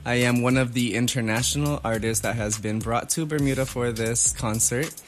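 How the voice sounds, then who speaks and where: A man's voice: mid-range voice, steady tone, normal speed, faint music behind. A man from Guatemala, a visiting dancer, introducing himself politely on a radio show. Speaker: guest dancer. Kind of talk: radio interview self-introduction.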